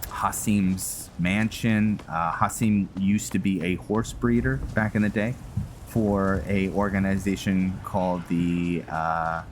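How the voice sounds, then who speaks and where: Deep-voiced, slow-talking man over noisy game ambience. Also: fairly even intonation. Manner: halting, thinking aloud. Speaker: host of a roleplay game series. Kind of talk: casual gameplay commentary explaining lore.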